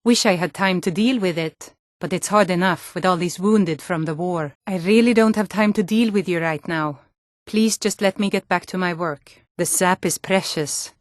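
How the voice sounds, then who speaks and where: Woman acting a low-voiced, earnest Nord woman. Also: dry recording, studio quality, natural voice. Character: sturdy, earnest Nord woman of a fantasy realm. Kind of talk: scripted fantasy video game dialogue.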